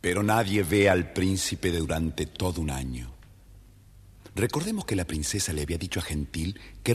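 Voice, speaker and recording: A man playing a friendly storybook narrator for children, husky and expressive, with long reverb. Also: low voice, exaggerated voice.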